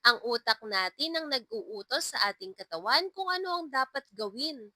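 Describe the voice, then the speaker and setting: Woman playing a bright, encouraging schoolteacher. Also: on the high side, expressive, normal speed, no echo, full character voice. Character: kind, encouraging female elementary school teacher.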